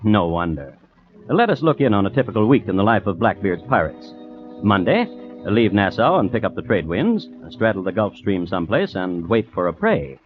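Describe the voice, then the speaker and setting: A droll radio storyteller narrates a pirate play with animated intonation and a tongue-in-cheek manner. The sound is thin and phone-like, with faint music behind. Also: male voice, on the low side, moderate pace. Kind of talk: old-time radio scripted narration. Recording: tinny, phone-like old radio recording.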